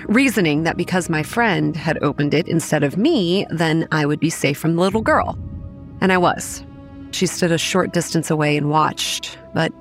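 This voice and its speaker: Actress as young woman storyteller, low voice, measured, matter-of-fact, horror narration, soft music underneath. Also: lightly acted. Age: young adult. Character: young woman campground manager, grimly recounting childhood horrors. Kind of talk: scripted first-person horror narration.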